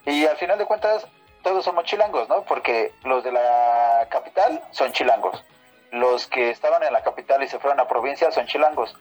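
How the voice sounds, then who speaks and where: A man hosts a casual podcast, teasing his guest and laughing often. His voice has an ordinary middle pitch. Faint music runs behind the conversation. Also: animated, moderate pace. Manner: laughs often, jokey interviewer. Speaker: podcast host. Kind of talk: casual chat.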